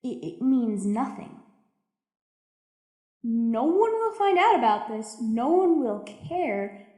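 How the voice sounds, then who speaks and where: A woman acting a lonely, anxious, jaded deep-sea station survivor in an audio drama, rambling and stammering through a confessional recorded log. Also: big pitch swings, warm tone. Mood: bitter, despairing. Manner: rambling, halting, stammering, self-interrupting. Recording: echoey room.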